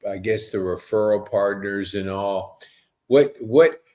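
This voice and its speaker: A man hosts a relaxed, conversational interview in a fairly low voice. His delivery is slow and rambling, full of enthusiasm as he feels his way into each question. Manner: effusive, rambling, stop-and-start questions. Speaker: podcast host.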